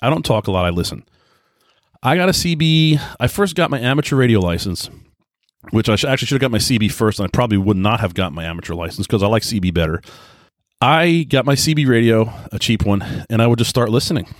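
A man's voice: medium pitch, animated, quick pace, no echo, clean studio sound. A man hosting a casual solo podcast, talking in a rambling, informal, conversational way. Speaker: podcast host. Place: indoors at home by a computer.

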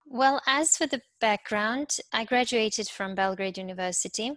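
A woman's voice: on the high side, moderate pace, fairly bright tone, no room sound. A middle-aged woman with a Serbian accent, giving reflective interview answers and often searching for her words. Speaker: interview guest, university professor.

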